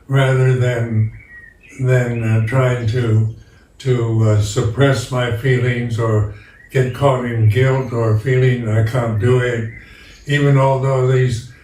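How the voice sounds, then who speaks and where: An older man, a Buddhist monk, giving a slow, reflective dharma talk, often pausing and repeating words. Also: mid-range voice, darkish tone, a little hiss or hum. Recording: echoey room, voice from far off.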